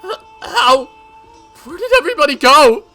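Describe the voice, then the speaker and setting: A man's voice: mid-range voice, huge pitch swings, unhurried, pushed and bright, faint music behind, exaggerated voice. Man putting on a tense, paranoid teenage girl. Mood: terrified. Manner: accusing, stammering, shrieking when cornered. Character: suspicious, frightened teenage girl under paranoid strain.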